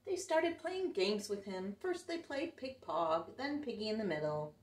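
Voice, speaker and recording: A woman reading a picture book aloud to children in an animated, expressive way, recorded in an echoey room a little away from the mic. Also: medium pitch, unhurried, distant mic. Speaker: children's storyteller.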